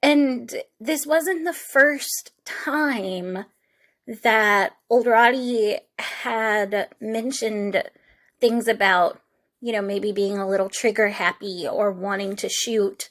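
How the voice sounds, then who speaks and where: A woman's voice: medium pitch, takes it slowly, fairly bright tone. A woman hosting a true crime podcast, giving casual commentary in a conversational, opinionated and emphatic way.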